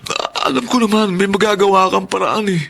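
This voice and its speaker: Sorry younger brother in radio drama, high creaky voice, faint noise behind. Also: male voice, steady tone, a little fast, fairly bright tone. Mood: pleading, desperate. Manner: pleading, emotive. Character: pleading, apologetic younger brother and new father. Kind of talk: Tagalog radio drama acting.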